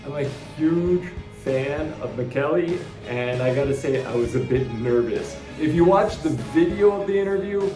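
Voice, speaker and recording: A man's voice: on the high side, lively intonation, normal speed, hardly any pauses. A man hosting a podcast, chatting enthusiastically and often restarting his sentences. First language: English. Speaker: podcast host. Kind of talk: casual interview chat. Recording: roomy echo with faint music.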